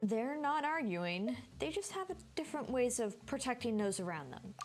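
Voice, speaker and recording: A woman's voice: fairly high pitch, big pitch swings, moderate pace, faint music behind. A woman streaming a game, giving casual live commentary and thinking aloud in a chatty way.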